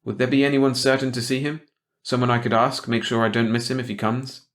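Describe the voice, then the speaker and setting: A man's voice: medium pitch, little pitch movement, fairly quick, voice pushed up, lightly acted. A man voicing a guarded stranger who speaks carefully and hesitantly. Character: guarded male stranger from elsewhere.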